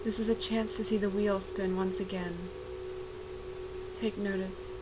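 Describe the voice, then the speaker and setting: A woman reciting a poetic spoken-word narration for a video art piece, measured and reflective. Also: medium pitch, level tone. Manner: measured, reflective, unhurried delivery. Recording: voice-over with clear background noise.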